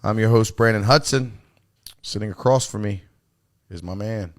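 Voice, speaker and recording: A man's voice: lowish voice, moderate pace, a little hiss or hum. A man hosting a podcast, chatting casually and swearing often, with lots of "you know what I mean". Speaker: podcast host. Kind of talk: casual profane chat. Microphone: studio mic.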